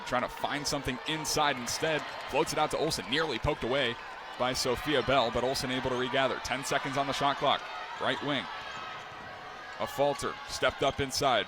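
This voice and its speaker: A young man doing live play-by-play, with a quick, crisp call that climbs into excited shouts on big plays. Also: on the high side. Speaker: play-by-play announcer. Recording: broadcast with loud arena crowd and noise.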